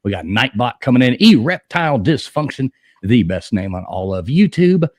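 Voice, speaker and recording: Chill guy hosting trivia on stream, husky, bouncy pitch, calls out chat names. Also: big pitch swings, normal speed, dry recording, studio quality. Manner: laid-back, greets chat by name. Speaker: stream co-host.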